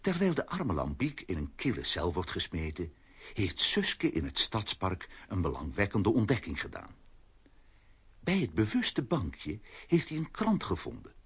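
A man's voice: lowish voice, wildly swooping pitch, unhurried, slightly rough, full character voice. A man narrating a children's adventure audio play as a scripted storyteller. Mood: suspenseful telling.

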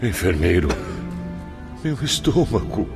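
A wounded, embittered soul in a radio play. His voice is on the low side and plaintively dramatic, set over prominent music. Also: very animated, takes it slowly. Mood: pained pleading. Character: suffering, bitter male patient, a wounded spirit.

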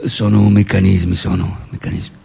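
A man's voice: sits low, level tone, moderate pace, creaky, dark tone, husky, heavily acted. An actor in a radio theatre comedy playing a tender, earnest boyfriend who talks reassuringly and repeats his phrases. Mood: sincere, sweet. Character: tender, earnest boyfriend declaring indifference sweetly. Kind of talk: radio theatre comic dialogue.

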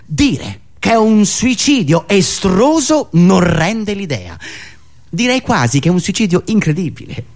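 A man with a high voice and very lively, swooping intonation delivers satirical monologue on the radio. He is sardonic and theatrical, leaning on rhetorical questions, pauses and repetition.

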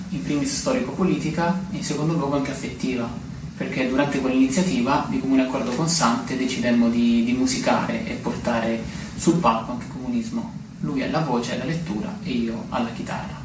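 A man's voice: medium pitch, fairly even intonation, fairly quick. A man, a guitarist in a poetry and music duo, giving a formal spoken introduction. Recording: echoey, distant, noisy background.

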